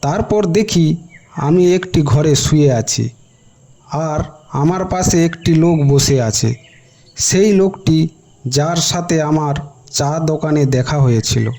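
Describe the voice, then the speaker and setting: Higher-pitched, mellow male horror narrator. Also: takes it slowly, vocal fry, long pauses, a little hiss or hum. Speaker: first-person story narrator. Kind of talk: dramatised horror story narration. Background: background music.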